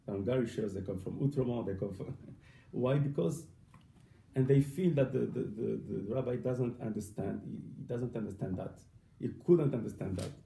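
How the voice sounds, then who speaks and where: Rabbi guy teaching a class, stops and repeats a lot, gravelly low-toned voice, echoey room. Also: dark tone. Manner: halting, repeats words, mixes Hebrew and Yiddish terms. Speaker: rabbi teaching family purity law. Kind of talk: informal lesson. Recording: echoey room, faint background noise.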